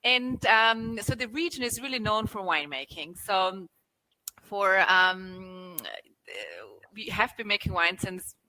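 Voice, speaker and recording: A woman's voice: mid-range voice, unhurried, sharp tone, rough recording. A woman from northern Italy whose first language is German, a winemaker chatting over a video call in an explanatory way, with lots of fillers and tag questions. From northern Italy, Alto Adige. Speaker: winemaker guest.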